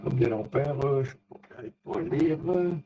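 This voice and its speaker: A man in a casual technical chat as an amateur radio operator, speaking haltingly and often searching for words. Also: mid-range voice, lively intonation, warm tone. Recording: dull, lo-fi radio contact audio.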